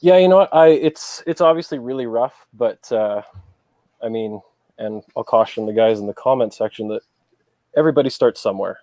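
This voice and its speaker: A man guesting in a casual chat, giving measured, encouraging critique. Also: mid-range voice, mellow, no room sound. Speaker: guest customizer.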